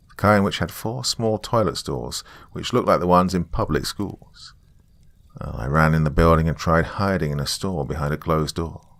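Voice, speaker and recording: Deep, gravelly male horror narrator. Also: fairly bright tone, no room sound. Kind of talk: scripted first-person horror narration.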